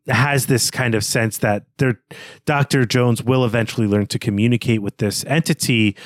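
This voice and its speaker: A man co-hosting a podcast, talking through a story in an unscripted, halting way as he thinks aloud. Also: medium pitch, dry recording, clean studio sound. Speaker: podcast co-host. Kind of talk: unscripted literary discussion.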